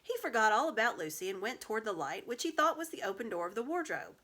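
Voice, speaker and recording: A woman reading a children's story aloud in an expressive narrating style. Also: a little high, quick pace. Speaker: storybook reader.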